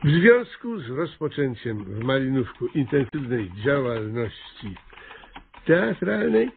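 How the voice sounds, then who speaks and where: A pompous small-town mayor in a radio comedy. His mid-pitched voice swings animatedly as he makes officious pronouncements with hesitant fillers. The sound is narrow and hissy. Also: big pitch swings, takes it slowly, vocal fry, exaggerated voice. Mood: dictating. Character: pompous small-town mayor, self-appointed theatre director. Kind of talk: radio comedy drama acting. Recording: muffled, noisy, phone-like radio recording.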